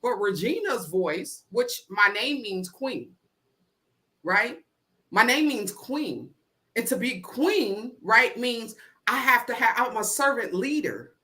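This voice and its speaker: High bright rhythmic woman preacher. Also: very animated, slow pace. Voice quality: deep voice. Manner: rhythmic, repetitive preaching cadence with call-outs to the crowd. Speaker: motivational speaker. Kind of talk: spoken-word inspirational talk.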